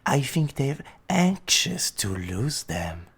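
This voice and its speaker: A man's voice: medium pitch, big pitch swings, takes it slowly, a bit bright, slightly rough, full character voice. A man playing a sinister, courteous mafia enforcer in an audio drama, formal, theatrical and darkly amused. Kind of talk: audio roleplay acting.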